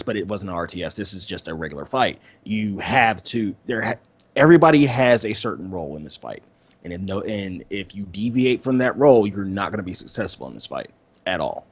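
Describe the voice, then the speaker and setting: Lively-pitched man co-hosting a gaming podcast. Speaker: podcast co-host. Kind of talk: casual gaming podcast chat.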